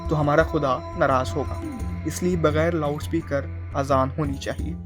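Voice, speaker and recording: Male news voice-over, scripted delivery, higher register, prominent music bed. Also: fairly even intonation, normal speed. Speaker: news reporter narrating a report.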